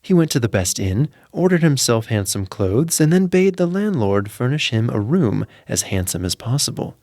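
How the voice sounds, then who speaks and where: A man's voice: medium pitch, big pitch swings, normal speed, a little mellow, dry recording, studio quality. A man narrating a scripted fairy tale as a storyteller.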